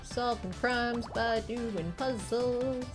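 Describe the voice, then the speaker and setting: A woman's voice: fairly high pitch, drawn-out words, over music. A woman game streamer giving casual commentary as she plays.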